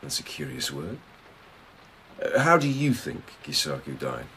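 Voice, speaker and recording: An actor playing a shrewd, plain-spoken lieutenant in a radio drama, questioning in a direct, probing manner. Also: male voice, rumbling low, wildly swooping pitch, a little slow, pushed and bright, slight background noise, full character voice. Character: shrewd, plain-spoken young-ish male lieutenant investigator.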